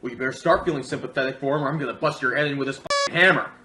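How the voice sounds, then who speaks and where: A man's voice: mid-range voice, rapid-fire. A man hosting a comedic scripted film review, speaking in a sarcastic, mock-dramatic way. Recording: roomy echo with music underneath.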